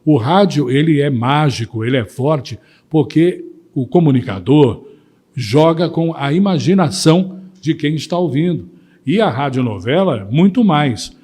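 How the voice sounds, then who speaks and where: A Brazilian man presenting to viewers, deliberate and emphatic, posing rhetorical questions and giving his views. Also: fairly high pitch, expressive, darkish tone, husky. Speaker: presenter and voice-over teacher. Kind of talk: talking to viewers, opinion commentary.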